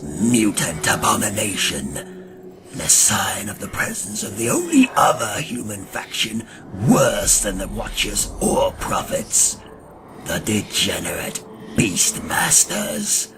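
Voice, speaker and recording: A man playing a grim, ancient vampire hunter who narrates a gothic audio drama in a solemn, ornate and gravelly manner. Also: fairly low pitch, huge pitch swings, exaggerated voice. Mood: contemptuous, grave. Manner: solemn, ornate, deliberate delivery. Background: dramatic music.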